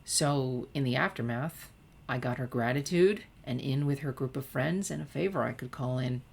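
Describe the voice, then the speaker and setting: A woman's voice: extremely low pitch, normal speed, creaky, husky, full character voice. A woman voicing a regretful teenage girl who confesses past scheming in a hesitant, reflective way, in a story reading. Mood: rueful.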